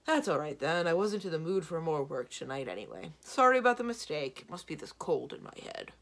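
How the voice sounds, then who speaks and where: Woman putting on a booming, gruff giant. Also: big pitch swings, a little fast, exaggerated voice. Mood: sheepish. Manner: stubborn, blustering. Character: big gruff grumbling giant husband with a cold.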